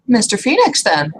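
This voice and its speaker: A woman's voice: on the high side, a little hiss or hum, pitched above the performer's own voice, heavily acted. A woman voicing a very tall, friendly female troll who talks in an eager, welcoming, chatty way.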